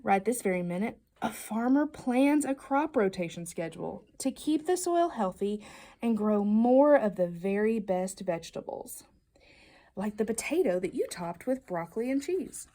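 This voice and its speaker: A woman reading a picture book aloud to children in an expressive, emphatic storytelling manner. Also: medium pitch, normal speed. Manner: expressive, emphatic storytelling delivery with playful questions. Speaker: storybook reader.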